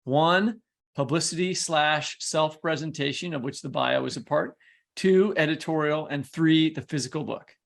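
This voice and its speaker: A man's voice: fairly high pitch, normal speed, creaky, a bit bright. A man co-hosting a podcast in casual conversation, rambling and correcting himself as he goes, with a teasing way about him. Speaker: podcast co-host and interviewer.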